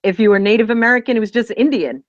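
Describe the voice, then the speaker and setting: A woman's voice: on the high side, very fast, no room sound. A woman speaking as a guest on a panel, playfully telling an anecdote. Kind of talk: casual panel discussion. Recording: dull lo-fi dry audio.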